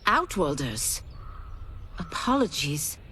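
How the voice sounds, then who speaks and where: A woman's voice: sits low, big pitch swings, pushed and bright, a little hiss or hum, full character voice. A woman playing a solemn demon warrior seeking redemption in a video game, speaking formally and intensely. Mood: solemn, resolute. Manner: formal, intense, measured wording. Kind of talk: cinematic video game dialogue. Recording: music behind the voice.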